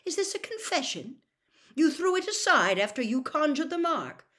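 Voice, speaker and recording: A woman's voice: mid-range voice, animated, normal speed, voice pushed up, natural voice. A woman voicing a stern, blustering male wizard official in an audiobook, accusing and interrogating in a barking manner. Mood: incredulous.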